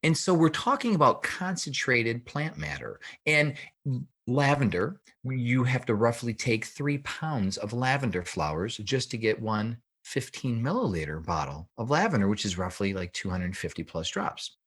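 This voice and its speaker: A man's voice: mid-range voice, animated, normal speed, a bit bright, husky. A man speaking as an interview guest, explaining things in an emphatic, didactic way and posing questions that he then answers. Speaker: podcast guest, health researcher and author.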